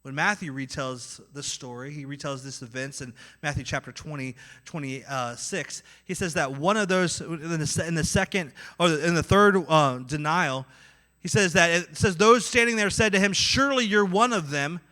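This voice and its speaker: A man with a Midwestern accent preaches a sermon in a high, very bright voice. He acts out the voices in his story and swings between loud, pressing lines and quiet ones. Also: moderate pace. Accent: Midwestern American. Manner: animated, acts out inner voices with swings in loudness. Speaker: pastor.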